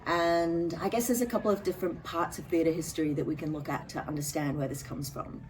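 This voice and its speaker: A woman, a drama teacher, explaining things clearly in an interview. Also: low-pitched, fairly even intonation, a little fast, a little mellow. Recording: distant mic with background noise.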